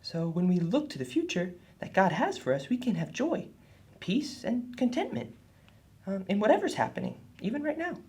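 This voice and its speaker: Woman as husky, animated boy puppet, Nathan. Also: mid-range voice, normal speed. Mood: earnest. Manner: explanatory, earnest. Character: earnest, helpful boy puppet friend named Nathan. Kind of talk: children's puppet show lesson.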